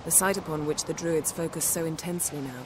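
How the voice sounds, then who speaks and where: A man's voice: a little high, fairly quick, background noise, close to an everyday voice. A man voicing a matter-of-fact companion. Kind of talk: video game cutscene acting.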